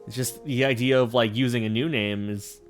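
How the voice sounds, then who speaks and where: A man streaming a video game, chatting casually with viewers in an animated, laughing, self-deprecating way. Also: medium pitch, brisk, over music. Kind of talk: casual gameplay commentary.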